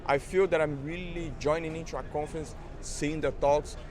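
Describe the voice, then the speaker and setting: Conference attendee, male, halting chatty delivery, loud crowd behind. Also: fairly high pitch, fairly even intonation. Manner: thoughtful, with many fillers and restarts. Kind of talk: casual conversation about tools. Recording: busy crowd noise behind.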